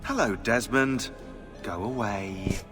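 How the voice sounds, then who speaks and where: Bright, sharp, sarcastic man. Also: lowish voice, slow pace, faint music behind. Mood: sarcastic, irritated. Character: sarcastic, irritable tech-support man. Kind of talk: video game character acting.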